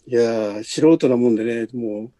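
A man's voice: medium pitch, moderate pace, warm tone. A man speaking casually as a podcast guest, agreeable and inclined to explain things. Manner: agreeable, explanatory, often affirming. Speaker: podcast guest, radio drama audio editor. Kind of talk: casual chat.

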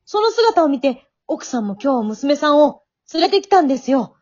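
An earnest young aspiring singer acted by a woman in an amateur radio play. Her voice is high, and she exhorts and encourages emphatically. The sound is slightly muffled. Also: big pitch swings, moderate pace, darkish tone. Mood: encouraging. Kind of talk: amateur radio drama acting.

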